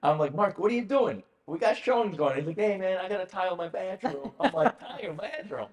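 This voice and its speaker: A man's voice: high-pitched, a little fast. Italian-accented man hosting a casual chat. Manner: animated, jokey, many fillers. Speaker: podcast host. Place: office.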